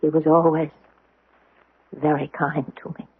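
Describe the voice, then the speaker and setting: An actress playing a sweet, confused young woman with a fogged memory in a 1970s radio drama, speaking in a breathy, wondering, faltering way. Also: deep voice, little pitch movement, unhurried, no echo, full character voice. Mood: subdued, sad. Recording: muffled, narrow-band old radio broadcast.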